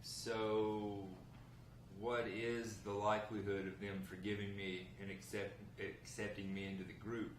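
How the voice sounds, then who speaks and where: An earnest, hard-up man acting as a drama character with a lowish voice, persuasive and measured. He is heard from a distance in an echoey room with some hiss, reading at a table read. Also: flat delivery, close to an everyday voice. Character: earnest, hard-up man bargaining with a gang boss. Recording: roomy, noisy, distant mic.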